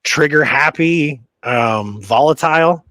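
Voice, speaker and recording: A man's voice: on the high side, slow pace, pushed and bright, dry recording. A man co-hosting a history show in casual, informal chat, thinking aloud as he goes. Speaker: co-host of a Western history show.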